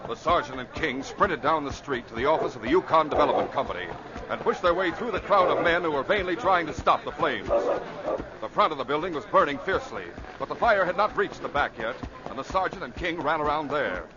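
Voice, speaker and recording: A man narrating an old-time radio adventure in a dramatic, measured storytelling style. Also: fairly high pitch, expressive, a loud crowd. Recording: dull, noisy vintage radio recording.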